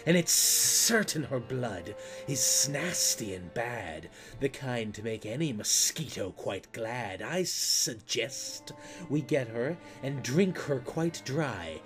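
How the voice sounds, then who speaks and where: A man voicing a menacing, scheming mosquito queen from a rhyming storybook, speaking in a slow, drawn-out way over music. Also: mid-range voice, very animated, a bit bright, heavily acted. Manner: slow, drawn-out, plotting delivery. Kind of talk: storybook rhyme character voice.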